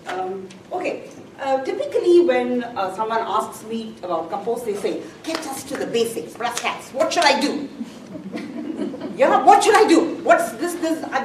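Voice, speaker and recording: A woman speaker giving a chatty, self-deprecating talk on composting in a library room. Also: on the high side. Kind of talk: informal presentation. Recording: echoey room, far from the mic, noisy.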